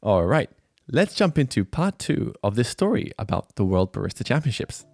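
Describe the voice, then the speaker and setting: Lively male podcast host. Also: lowish voice, moderate pace, gravelly, breathy edge, no room sound, faint music behind. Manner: lively, laughs often, reads sponsor spots. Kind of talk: conversational podcast interview.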